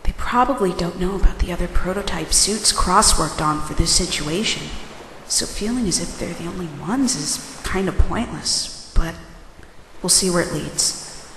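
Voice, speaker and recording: A woman playing a wry, brisk government agent in charge in a fan audio drama. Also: lowish voice, a bit bright, a little hiss or hum, low-quality recording. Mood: detached, curious.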